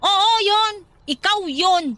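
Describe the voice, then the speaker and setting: A woman's voice: very high voice, takes it slowly, sharp tone, full character voice. A woman playing a sensible, exasperated young cousin and coworker who nags and admonishes in a Tagalog radio drama. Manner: nagging, admonishing.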